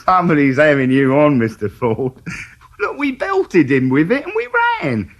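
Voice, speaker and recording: A cheeky small-time burglar in a radio drama, brushing off a detective's questions with breezy mockery. His voice sits a little high and moves in lively swoops. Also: moderate pace, highs cut off. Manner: breezy, mocking, evasive. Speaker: suspect.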